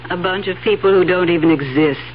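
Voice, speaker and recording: A woman's voice: fairly low pitch, fast talker, close to an everyday voice. An actress playing a greedy, brazen female schemer in a 1970s radio drama, with a cool, goading delivery. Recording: dull 1970s radio recording, faint hiss.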